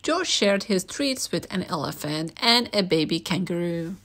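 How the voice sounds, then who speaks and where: A woman's voice: fairly low pitch, animated, a little slow, a bit bright. A woman reading a children's picture book aloud as a storyteller.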